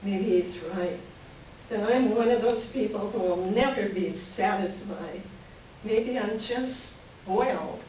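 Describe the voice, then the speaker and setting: A woman's voice: mid-range voice, slow pace, dark tone, lightly acted. A woman playing a wistful, melancholy married woman in a staged play reading, with a reflective storytelling manner. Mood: subdued, pensive. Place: library reading before a microphone at some distance. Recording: very echoey room, distant mic, faint noise.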